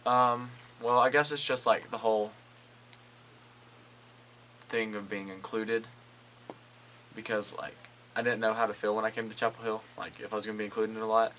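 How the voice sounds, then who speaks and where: A man's voice: lowish voice, fairly even intonation, normal speed, pauses often, fairly bright tone, slight background noise, rough recording. A teenage male theater student giving casual, personal answers in an interview. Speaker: high school theater student.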